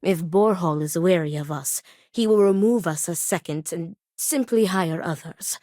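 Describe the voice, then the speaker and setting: An actress voicing a grave, principled and devout woman in a video game, speaking in formal, measured, stern phrases. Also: on the low side, dark tone, dry recording, clean studio sound, close to an everyday voice. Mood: grave, earnest. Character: grave, principled woman acting as duel second, devout, weary. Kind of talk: video game character dialogue.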